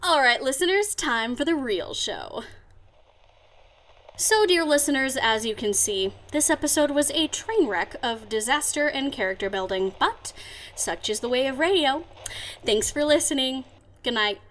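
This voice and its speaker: A woman's voice: high-pitched, expressive, unhurried, a bit bright, poor audio. A woman playing a brash, mischievous young female pony who hosts a radio show in an audio drama, with a playful, cheeky delivery. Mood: hopeful, upbeat. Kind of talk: fan audio drama acting.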